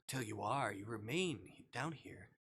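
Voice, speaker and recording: Man voicing a quiet, controlling male kidnapper. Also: mid-range voice, expressive, normal speed, lightly acted. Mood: cold, possessive. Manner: quiet, composed, clinical, condescending. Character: calm, controlling male kidnapper convinced he is a caring partner. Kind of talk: visual novel character reading.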